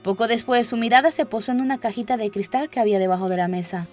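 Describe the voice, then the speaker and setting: A woman's voice: fairly high pitch, quick pace, darkish tone. A woman reading a children's story aloud as scripted audiobook narration. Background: background music.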